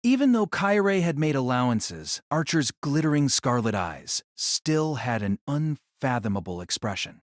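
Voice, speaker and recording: A man's voice: medium pitch, very animated, unhurried, dry recording, studio quality. A man narrating a novel as an audio drama in a dramatic scripted style.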